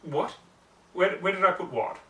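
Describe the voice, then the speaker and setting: A refined young lady unicorn from a storybook acted by a man, excitable and full of questions. The voice is lifted high and rings in a roomy space, a little far from the mic. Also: normal speed. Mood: puzzled. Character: refined, eager female unicorn pony, excitable pupil. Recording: roomy, distant mic, faint noise.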